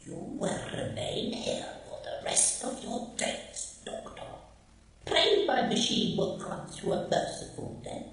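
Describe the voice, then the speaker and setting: A man's voice: very high-pitched, big pitch swings, slow pace, a bit bright, full character voice. A man playing a cruel, gloating megalomaniac cyborg in a radio drama, with a harsh, electronically modulated voice and pompous, menacing threats. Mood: gloating menace. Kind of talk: radio drama villain acting. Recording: echoey fan-made audio drama.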